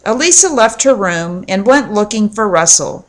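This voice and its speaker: A woman narrating a children's storybook aloud in a measured, clearly phrased read-aloud delivery. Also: mid-range voice, lively intonation. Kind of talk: storybook narration with acted dialogue.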